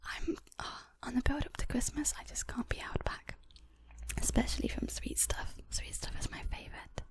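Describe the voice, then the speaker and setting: A woman whispers casually to her viewers as an ASMR host. Her voice is extremely breathy and husky, with some crackle in it. She rambles gently and without hurry. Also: high-pitched, steady tone, moderate pace. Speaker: ASMR video creator and host. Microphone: portable handheld audio recorder. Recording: close whispered recording on portable recorder.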